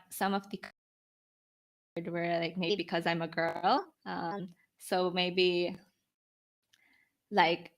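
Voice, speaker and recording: A woman around twenty with a slightly low voice for her sex. She talks in a reflective, conversational way, as a guest answering interview questions, and peppers her speech with informal "like" and "you know". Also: fairly bright tone. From Philippines. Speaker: podcast guest, startup founder.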